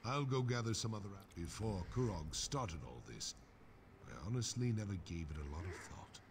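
A man voicing a gruff, blunt, battle-hardened orc warrior in a fantasy video game, with a gravelly voice. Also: lowish voice, animated, normal speed, noisy background. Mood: angry, urgent. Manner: blunt, swearing, wry. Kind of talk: fantasy game character acting.

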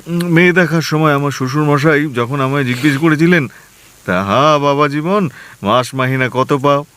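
An actor playing a nervous young husband in a radio play, who stammers and gets flustered easily. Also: male voice, a little high, dry recording, natural voice. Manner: stammering, jittery.

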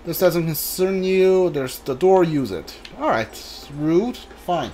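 A streamer with a middling pitch plays through a video game. The delivery is informal, switching between reading dialogue aloud and muttered asides. Game ambience runs behind the voice. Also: big pitch swings, slow pace, mellow, a little hiss or hum. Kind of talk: casual gameplay commentary, reading on-screen dialogue aloud.

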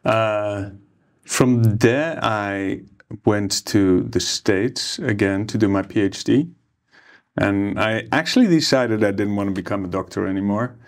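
A man in his forties with a low, gravelly voice tells his story at an easy, unhurried clip. He pauses often on small hesitations, sounding settled and candid in a seated interview with a table microphone. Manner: easygoing, hesitant with many uhs. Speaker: plastic surgeon guest. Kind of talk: relaxed interview conversation. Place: ornate room, seated interview. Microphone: studio microphone on table.

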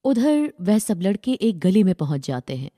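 A woman's voice: mid-range voice, sing-song, normal speed, mellow, no echo, studio quality. A woman narrating a scripted children's story.

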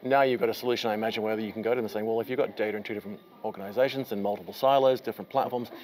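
A man's voice: lowish voice, fairly even intonation, rapid-fire, a little mellow. A man in his forties hosting a conference interview, asking long, rambling questions in an enthusiastic, chatty way, recorded on a lapel mic in a conference room. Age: 40s. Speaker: interviewer host. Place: conference room with a curtain backdrop.

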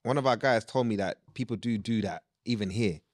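A man's voice: on the low side, brisk, dry recording, studio quality. A young man co-hosting a podcast in casual chat, playful and teasing, always firing off questions. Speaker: podcast co-host. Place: small studio set, indoors. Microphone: desk mic on boom arm.

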